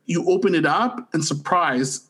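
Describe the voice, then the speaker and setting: High-pitched male voice, effusive, emphatic, casual podcast review. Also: moderate pace, a bit bright, husky. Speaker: podcast co-host reviewing pop culture.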